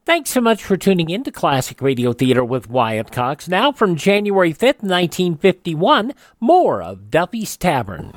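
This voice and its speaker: A woman's voice: on the low side, huge pitch swings, moderate pace, few pauses, no echo, clean studio sound. A woman reading scripted announcements as a radio program announcer.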